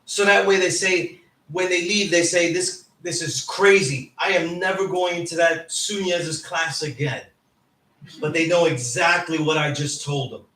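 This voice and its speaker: A man's voice: a little high, steady tone, unhurried, bright, forceful tone. A man lecturing informally to a class, emphatic and often checking in with "right?". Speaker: teacher. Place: indoor classroom. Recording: echoey room, distant mic, background noise.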